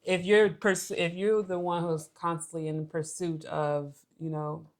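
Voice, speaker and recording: Young American woman, low pitch, flat delivery, informal, conversational co-host thinking aloud. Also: a little slow. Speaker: co-host of a couples' relationship show. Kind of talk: casual conversational explaining.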